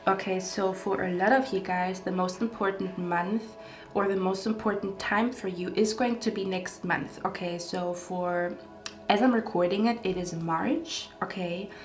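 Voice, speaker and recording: A woman reads tarot cards straight to camera in a loose, rambling way, full of fillers and checking in with "okay?" after her phrases. Her voice sits at an ordinary middle pitch. The room around her rings with noticeable echo. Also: steady tone, unhurried, faint music behind. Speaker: tarot card reader. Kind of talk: casual pick-a-card tarot reading to camera. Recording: echoey room.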